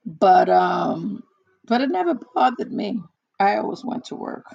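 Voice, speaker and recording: A hoarse woman in casual one-on-one chat, talking haltingly with many fillers and restarts. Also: mid-range voice, sing-song, darkish tone, no echo, dull audio. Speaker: chat partner.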